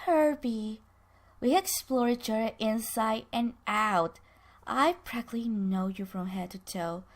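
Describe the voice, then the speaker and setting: A storyteller woman plays a scornful former girlfriend in a spoken drama. She is sarcastic and theatrical, pitched fairly high, and leans slowly on her words to needle the man she once loved. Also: lightly acted. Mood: teasing, dry. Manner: sarcastic, theatrical, drawn-out emphasis. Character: bitter, taunting woman confronting her former lover.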